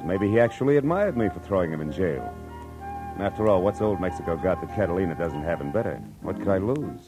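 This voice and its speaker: A world-weary private eye in a 1940s radio drama. His low voice moves with lots of expression, terse and wisecracking, with music in the mix. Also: rough recording. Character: hard-boiled male private eye, wry and world-weary. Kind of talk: 1940s radio acting, first-person narration.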